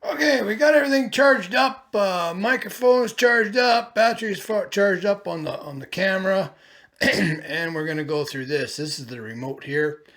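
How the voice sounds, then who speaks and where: A man in his fifties with a rough voice, casually reviewing a camcorder at home and talking through each step as he handles it, with plenty of ums and uhs. He records himself in a small bedroom on the camera's own mic, sometimes standing several feet back. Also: sits high, normal speed, fairly bright tone. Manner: rambling, talks while fiddling with gear, many fillers. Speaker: product reviewer. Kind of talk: casual hands-on product review. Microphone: camcorder's own shotgun mic, from a few feet to eight feet away.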